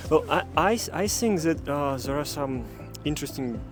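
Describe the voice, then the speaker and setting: A man's voice: a little high, animated, moderate pace, over music. A man teaching languages, answering street interview questions hesitantly and repeating words as he searches for them.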